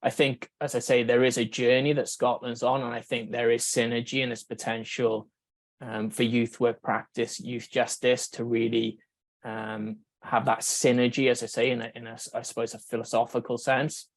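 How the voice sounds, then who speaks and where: Welsh man with a gravelly, level voice. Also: medium pitch, level tone, no room sound. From Wales. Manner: measured, thinking aloud with many fillers and restarts. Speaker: researcher giving a conference talk. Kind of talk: conference presentation.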